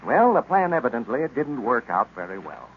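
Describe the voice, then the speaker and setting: An American male radio announcer in the 1930s manner. His scripted delivery swings up and down in a lively way. The vintage recording sounds dull, with faint noise. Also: mid-range voice, fairly quick.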